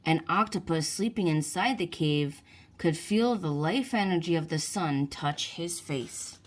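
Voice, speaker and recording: Slow, animated woman reading a children's story. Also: fairly low pitch, a little hiss or hum. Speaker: children's book reader. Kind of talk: read-aloud storybook narration for children.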